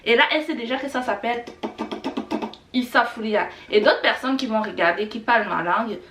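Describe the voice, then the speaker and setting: A woman's voice: on the high side, a little fast, over music. A middle-aged woman, a Kinyarwanda speaker, teaching words in a chatty, repetitive way with many fillers. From Rwanda. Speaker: language teacher vlogger. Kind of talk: casual teaching vlog. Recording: echoey room, a bit far from the mic.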